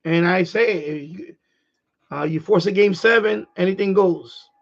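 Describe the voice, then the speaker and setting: A middle-aged man from New York with a New York accent, hoarse, chatting casually on his livestream and restarting his phrases as an eager sports fan. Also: sits high, takes it slowly. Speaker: livestream host. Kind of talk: casual rambling chat. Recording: dull lo-fi stream audio.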